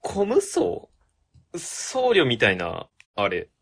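A woman's voice: very deep voice, wildly swooping pitch, takes it slowly, creaky, breathy edge, heavily acted. A woman playing a young, level-headed office subordinate in a comic radio drama, polite and matter-of-fact. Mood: puzzled, correcting.

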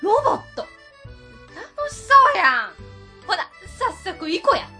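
A woman's voice: very high-pitched, huge pitch swings, slow pace, pushed and bright, soft background music, exaggerated voice. A woman playing a chummy, pushy middle-aged cleaning lady with a Kansai accent in a radio drama. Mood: breezy, eager. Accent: Kansai Japanese. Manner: breezy, familiar, eager.

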